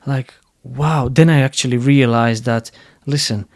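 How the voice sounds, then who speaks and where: Man, Eastern European accent, podcast host, frank casual talk, mid-pitched, dry close sound. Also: fairly even intonation, a little slow, no echo. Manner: frank, blunt, swears casually. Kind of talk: casual conversation.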